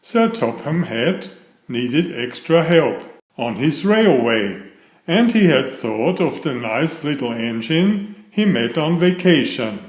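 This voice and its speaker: A man's voice: a little high, lively intonation, slow pace, reverberant. A man narrating a children's story, reading aloud from a script.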